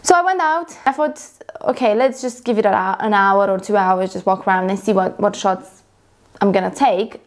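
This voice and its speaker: Young woman, from Romania, chatty vlog talk, lots of ums and restarts, mid pitch. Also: moderate pace. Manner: rambling, self-correcting, many fillers. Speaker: photographer vlogger. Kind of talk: casual talk to camera.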